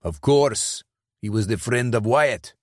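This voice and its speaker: A man's voice: mid-range voice, takes it slowly, no room sound, studio quality, pitched above the performer's own voice. A man voicing a nervous, blustering male hired killer who stumbles defensively through his explanations.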